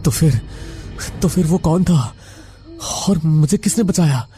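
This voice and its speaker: Guy doing scared shaken man in a horror tale, stammery repeats, raised pitch, trembly. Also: expressive, warm tone, soft background music. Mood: bewildered. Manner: halting, trembling, repeats words. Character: frightened, shaken male survivor of a haunting.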